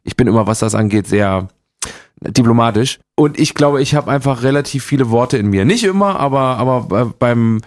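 A man's voice: mid-range voice, lively intonation, normal speed. A man in his forties from eastern Germany, chatting as an interview guest in a playful, rambling way. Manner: playful, many restarts, does dialect impressions. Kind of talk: casual interview chat.

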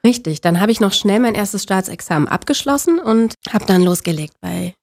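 A woman in her forties from Berlin, a guest talking openly and warmly, often with fillers and laughter. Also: medium pitch, expressive, moderate pace, creaky. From Berlin, Germany. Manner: open, friendly, laughs often, many fillers. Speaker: actress, guest. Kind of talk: casual interview chat.

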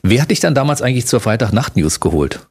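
A man's voice: mid-range voice, very animated, quick pace, a little mellow, husky. A man hosting a radio interview, playful and teasing in casual chat. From Berlin. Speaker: radio host.

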